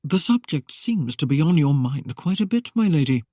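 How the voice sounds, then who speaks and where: A narrator lightly voicing a roguish young man who teases with mock innocence. The voice is a little husky and sits in a mid pitch, read close and dry. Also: sing-song, moderate pace, dark tone, dry recording. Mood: teasing. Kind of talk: audiobook character voice.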